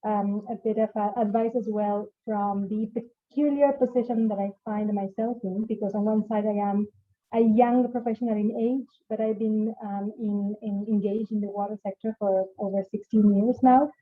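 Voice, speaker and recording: A woman's voice: mid-range voice, steady tone, normal speed. A woman from Argentina with an Argentine accent, presenting at an online conference as an organization director, with many fillers and restarts. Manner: many fillers and repeated starts. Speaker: organization director, panel speaker. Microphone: video call. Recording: tinny phone-like call audio.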